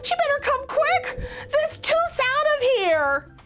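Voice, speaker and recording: Brief: worried little boy shark from a storybook, high and bouncy, slow and careful, a woman putting him on. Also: sing-song, over music, exaggerated voice. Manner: worried, eager, rhyming lines. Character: nervous young boy shark.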